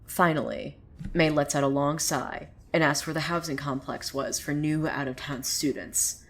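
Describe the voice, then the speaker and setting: A low-pitched actress as a female narrator spins a small-town tale for an audio drama. She is sardonic and opinionated, chatting to the listener as if passing on gossip. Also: steady tone, normal speed, close to an everyday voice. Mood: wry, suspenseful. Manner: sardonic, conversational, swearing asides. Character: opinionated, sardonic female storyteller who gossips about townsfolk. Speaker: narrator of a fiction podcast. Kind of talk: dramatic first-person storytelling.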